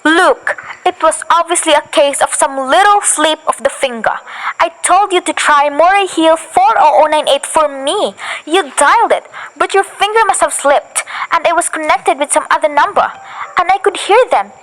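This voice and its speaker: A nervous, demanding wife, alone in her house at night, played by a young woman, with a high, fretful voice that keeps pressing and insisting. She frets and scolds in a student radio play. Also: normal speed, a bit bright, rough recording. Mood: frantic.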